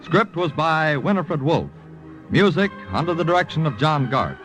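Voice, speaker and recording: A man's voice: a little high, sing-song, normal speed, a bit bright. A man announcing formally from a script in the style of mid-century American radio. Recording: old radio recording, music behind.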